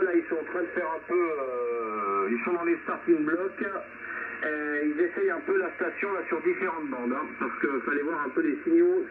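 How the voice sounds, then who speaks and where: A man chatting casually as he runs an amateur radio net over the air. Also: a little high. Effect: ham radio transmission. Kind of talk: casual radio chat among ham operators. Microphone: radio transceiver microphone. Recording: narrow-band shortwave radio, loud static hiss.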